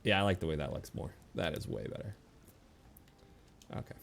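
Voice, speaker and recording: Deep, gravelly man streaming a game. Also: expressive, normal speed. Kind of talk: casual gameplay commentary, thinking aloud.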